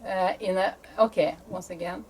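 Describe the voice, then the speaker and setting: A woman's voice: lowish voice, steady tone, normal speed, a little mellow, rough recording. A woman reading rhythmic verse aloud as an amateur volunteer. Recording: faint background noise and ambience.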